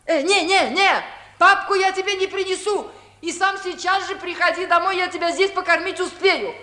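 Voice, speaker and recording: A woman's voice: extremely high pitch, normal speed, pushed and bright. An actress playing an old village grandmother who scolds, laments and dotes with fussy exclamations, in 1950s radio comedy style. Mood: doting, fussing. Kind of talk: Soviet 1950s radio musical comedy acting. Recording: 1950s Soviet radio recording.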